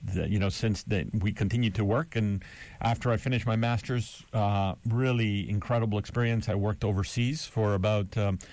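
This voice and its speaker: A man in his sixties from Miami, a college president and radio guest, talking thoughtfully with many pauses and fillers. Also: fairly low pitch, fairly even intonation, a little mellow, dry recording. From Miami, Florida, USA. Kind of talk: casual interview.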